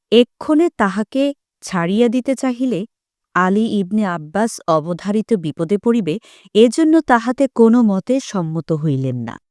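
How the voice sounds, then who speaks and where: A woman narrating a literary story for an audio drama in a formal, measured way. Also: on the high side, a little mellow, no echo, studio quality.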